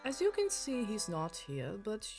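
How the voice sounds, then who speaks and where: A woman's voice: medium pitch, huge pitch swings, normal speed. A woman playing a polite attendant at a grand residence in a period audio drama, speaking with formal courtesy. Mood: courteous, dismissive. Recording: music behind the voice.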